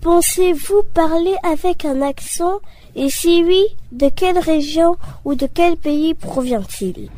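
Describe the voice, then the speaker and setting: A woman interviewing passers-by and asking them clear, measured quiz questions. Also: very high-pitched, mellow. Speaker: street interviewer. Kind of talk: quiz-style vox pop questions.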